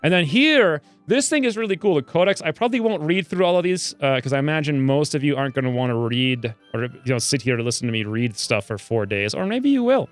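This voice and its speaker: A young man casually commenting while he plays a game, excitable and rambling, with self-mocking asides, speaking close to a desk mic. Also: on the high side, fast, hardly any pauses, dry recording, faint music behind. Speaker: gaming video host. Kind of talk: casual let's play commentary.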